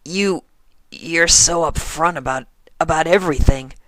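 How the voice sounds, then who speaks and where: A performer voicing a brash but flustered young female pegasus pony from a cartoon fan story, stammering and earnest, with a voice that cracks and turns breathy. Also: medium pitch, unhurried, fairly bright tone, no room sound, voice pushed up, natural voice, rough recording. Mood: nervous, earnest.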